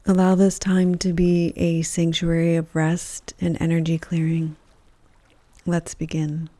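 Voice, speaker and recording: Slow, mellow woman guiding meditation. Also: fairly low pitch, dry recording. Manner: calm, gentle, measured, with soft pauses between phrases. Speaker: yoga nidra teacher, meditation guide. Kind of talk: guided meditation, soothing scripted narration.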